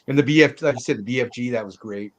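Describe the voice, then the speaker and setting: A man's voice: medium pitch, quick pace, dull audio. A man co-hosting a casual movie discussion, giving blunt opinions in salty, informal language. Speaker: movie podcast co-host.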